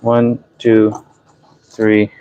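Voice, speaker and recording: A man's voice: fairly low pitch, monotone, extremely slow, dull audio, noisy background. A man in his thirties from New Hampshire with a light New Hampshire accent, chatting casually and rambling on a livestream while packing orders. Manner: rambling, chatty, self-correcting. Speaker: livestream host.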